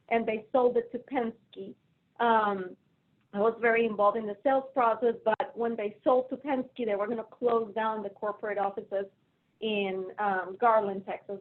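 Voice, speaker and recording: A woman from Colombia with a Colombian Spanish accent, speaking as a guest in a casual remote interview, chatty and self-deprecating. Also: a little high. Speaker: guest, finance executive. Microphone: video call. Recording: phone-like narrow audio over video call.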